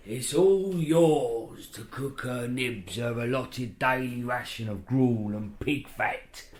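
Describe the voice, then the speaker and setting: An actor playing a weary, coarse, sardonic castle jailer with wry dark humour in an audio horror play. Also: male voice, medium pitch, close to an everyday voice. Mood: bitter, wry.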